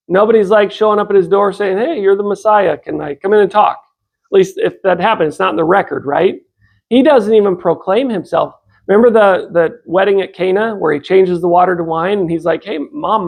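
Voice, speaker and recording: A fifty-something American man speaks as an informal religious lecturer. His voice sits high for a man and has a strong gravelly creak. He talks conversationally, posing questions to his listeners and voicing imagined exchanges. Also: a little mellow.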